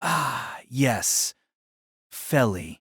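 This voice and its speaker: A woman's voice: rumbling low, big pitch swings, extremely slow, a bit bright, husky, dry recording, studio quality, heavily acted. A woman voicing a hesitant young man who stammers and apologizes, in an audio drama. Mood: flustered.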